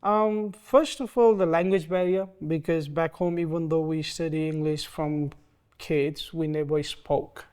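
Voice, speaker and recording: A man in his thirties from Nepal with a Nepali accent, speaking hesitantly with many pauses in an oral history interview. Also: a little high, steady tone, smooth. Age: 30s. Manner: soft-spoken, hesitant, frequent ums.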